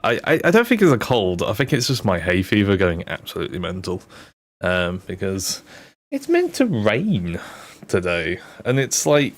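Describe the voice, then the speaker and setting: A man's voice: fairly low pitch, huge pitch swings, normal speed. A young man with a blocked, nasal nose chatting casually on a game stream, rambling with lots of ums and restarts. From Britain. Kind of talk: casual live-stream chat.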